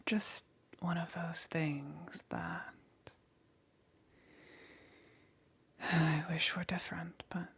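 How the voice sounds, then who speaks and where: A woman's voice: on the low side, flat delivery, exaggerated voice. Woman acting a whispering, possessive demon queen. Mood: tender, ominous. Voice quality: breathy, whispery, husky. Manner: slow, deliberate, softly condescending. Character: seductive, possessive, melancholy demon queen keeping a pet. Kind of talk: whispered ASMR roleplay.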